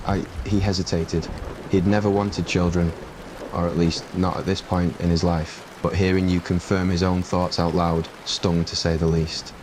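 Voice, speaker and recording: A man reading scripted narration for an ASMR roleplay story. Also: low voice. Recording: clear rain noise behind voice. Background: rain ambience.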